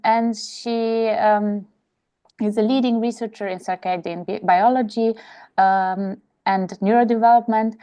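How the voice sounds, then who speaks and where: Woman introducing a guest speaker, warm mellow smooth voice, lots of ums. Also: medium pitch. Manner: hesitant, many fillers. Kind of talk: introducing a guest at an online seminar.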